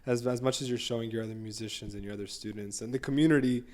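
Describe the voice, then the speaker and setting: A young man hosting an interview in a casual, friendly way, encouraging his guest, whose "you know" asides tail off quietly. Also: medium pitch, fairly even intonation, rapid-fire. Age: young adult. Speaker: interview host.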